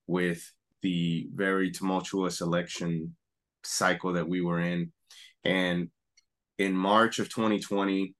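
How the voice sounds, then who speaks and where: A middle-aged man from Puerto Rico speaks in a very low voice. He tells his story in a relaxed, conversational way, often restarting his sentences as he goes. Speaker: guest, apparel company founder. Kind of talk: conversational interview.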